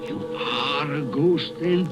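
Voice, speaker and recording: A man's voice: a little high, slow. An actor playing a miserly, cold-hearted old businessman, skeptical and fearful, in a theatrical, blustering radio drama style. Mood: alarmed. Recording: dull lo-fi recording with music behind.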